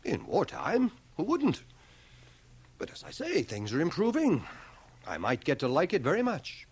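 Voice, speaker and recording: An actor playing a dry, ironic schoolmaster of nearly fifty in a radio drama, speaking in a measured, pedantic, sardonic way. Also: male voice, on the high side, huge pitch swings, breathy edge, heavily acted. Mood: guarded, testy. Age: middle-aged. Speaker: actor, narrator and lead. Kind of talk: 1980 radio drama acting. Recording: home cassette transfer of radio broadcast.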